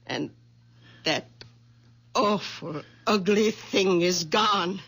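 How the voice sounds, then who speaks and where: A flustered aunt. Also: female voice, medium pitch, drawn-out words, a bit bright, husky, exaggerated voice. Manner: flustered, breathless exclamations. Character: older woman, the aunt, nervous then amazed. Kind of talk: 1970s radio drama acting.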